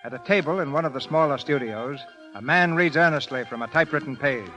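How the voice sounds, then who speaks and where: A man's voice: fairly high pitch, quick pace, pushed and bright, poor audio. A man announcing and narrating a 1930s radio drama in a formal, dramatic manner. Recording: vintage 1930s radio recording, music behind. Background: music.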